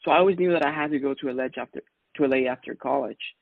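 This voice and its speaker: A man from Ecuador, in his thirties, talks through his story in a loose, easygoing way, full of fillers and quick laughs. His voice has a gravelly edge. The sound is dry and narrow, like a phone line. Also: medium pitch, dry recording. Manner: relaxed, chatty, laughs often, many fillers. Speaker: guest, music producer. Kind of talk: casual interview answers.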